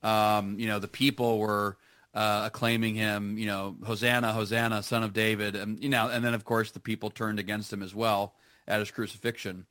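Bright-voiced man on a live radio call. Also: lowish voice, flat delivery, moderate pace, no echo, clean studio sound. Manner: enthusiastic, frequent restarts and fillers. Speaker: guest. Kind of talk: casual radio conversation.

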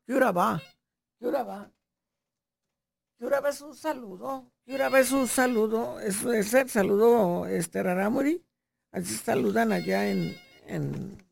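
A woman's voice: a little high, creaky, darkish tone. A woman hosting a radio show, sending chatty, playful greetings to listeners. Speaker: radio host.

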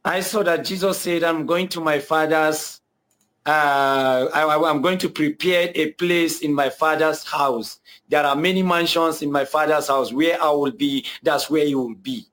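Earnest Nigerian man, slightly high voice. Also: fairly bright tone. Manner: earnest, repeats himself, many hesitations. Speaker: guest telling his conversion testimony. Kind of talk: personal testimony.

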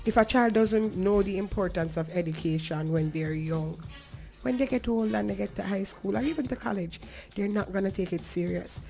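A woman's voice: medium pitch, moderate pace, creaky, dark tone, faint music behind. A woman with a Jamaican accent talking earnestly and conversationally as a talk show host, emphatic and now and then slipping into patois.

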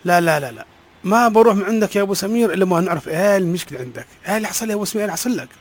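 Actor playing a pious, preachy neighbor; high voice, rattles along very fast. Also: male voice, lively intonation. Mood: coaxing. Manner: coaxing, preachy. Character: calm, pious neighbor who counsels a friend. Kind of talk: comedy sketch acting.

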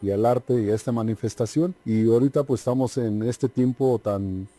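A man's voice: medium pitch, normal speed, warm tone, dry recording. A man from Oaxaca, a native Mazatec speaker with a Mexican accent, talking conversationally as an interview guest. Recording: faint music behind.

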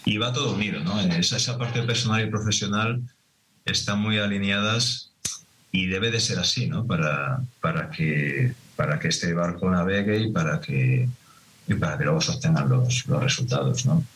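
Deep-voiced middle-aged Madrid winemaker. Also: male voice, moderate pace, fairly bright tone, low-quality recording. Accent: Madrid Spanish. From Madrid, Spain. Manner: reflective, hesitant, many restarts and tag questions. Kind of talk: relaxed interview answers.

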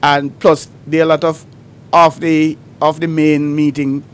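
Measured man on talk radio. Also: a little high, level tone. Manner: measured, explains step by step with examples. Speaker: radio talk show co-host. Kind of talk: call-in radio discussion.

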